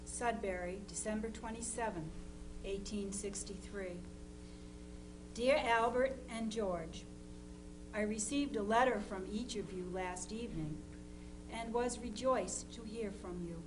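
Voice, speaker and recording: A woman's voice: medium pitch, unhurried, natural voice. A woman playing an anxious, devoted mother of Civil War soldiers, reading her letters plainly and carefully. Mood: worried, tender. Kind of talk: dramatized reading of historical letters. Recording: noisy live recording with hum.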